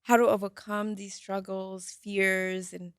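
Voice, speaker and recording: A woman in her late twenties from Nairobi, co-hosting a podcast, talking in a relaxed way with many fillers. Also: mid-range voice, slow, clean tone, no echo, studio quality. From Nairobi, Kenya. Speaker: podcast co-host, beauty content creator. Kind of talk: casual podcast chat.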